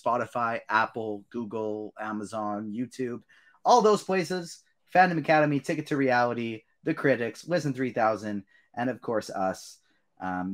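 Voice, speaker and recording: A man's voice: lowish voice, fairly bright tone. Enthusiastic male podcast host. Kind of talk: upbeat podcast hosting with plugs.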